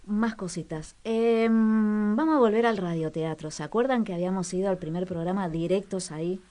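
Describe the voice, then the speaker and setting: Woman radio host, mellow dark tone, relaxed chat with fillers. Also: mid-range voice, moderate pace.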